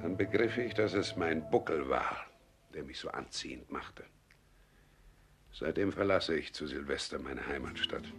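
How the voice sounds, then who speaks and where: An actor playing an eccentric, cryptic hunchbacked scholar in a radio play, speaking with dry deliberation. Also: male voice, a bass voice, lively intonation, measured pace, music in the background. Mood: wry, melancholy. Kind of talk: literary radio play acting.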